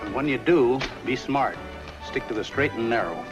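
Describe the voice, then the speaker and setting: An actor playing a plain-spoken ex-convict circus comedian in a 1950s film noir. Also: male voice, mid-range voice, expressive, normal speed, lightly acted. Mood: earnest, warning. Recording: old film soundtrack, music behind.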